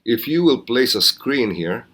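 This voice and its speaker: A man teaching physics in a recorded lesson, explaining step by step in a measured, instructional way. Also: medium pitch, very animated, a bit bright.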